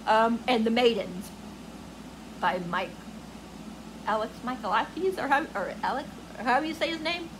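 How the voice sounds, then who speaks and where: A woman's voice: a little high, animated, normal speed, pauses often, background noise. A woman book vlogger chatting casually to viewers, gushing and rambling as she searches for words.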